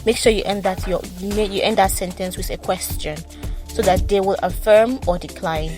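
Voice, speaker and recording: A woman hosting her own advice podcast, with a voice of middling pitch and a slight stutter. She talks fast, often repeating words and starting sentences over. Clear music plays behind her throughout. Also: fairly even intonation, darkish tone. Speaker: podcast host. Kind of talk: solo advice podcast talk.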